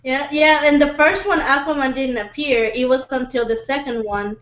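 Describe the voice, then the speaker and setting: A woman's voice: high voice, fairly even intonation, normal speed, fairly bright tone. A woman talking casually as one of several panelists in an informal discussion. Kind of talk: casual chat. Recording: roomy, dull lo-fi recording.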